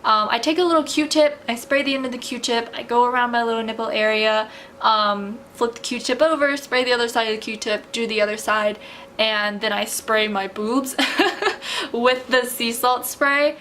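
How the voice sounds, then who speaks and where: A young woman chats to her camera at home, with a slightly high voice and a loose, rambling way of talking full of fillers. Her voice sounds a bit tired from talking. A faint hiss sits under the recording. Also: moderate pace, sharp tone. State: voice tiring from talking. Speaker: vlogger. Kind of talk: casual chat to camera.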